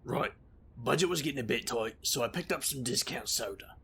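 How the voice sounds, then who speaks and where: Gravelly, flippant wisecracking investigator played by a man. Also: medium pitch, expressive, a little fast, sharp tone, a little hiss or hum. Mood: breezy, glib. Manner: wry, glib, theatrical. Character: flippant, scheming male supernatural investigator, boastful wisecracker. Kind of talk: audio drama narration and dialogue.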